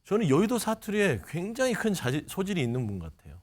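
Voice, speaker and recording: A man's voice: high voice, wildly swooping pitch, fast. A man giving critical political commentary as a panelist, often posing rhetorical questions. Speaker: political panelist, party spokesman. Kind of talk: political commentary in studio discussion.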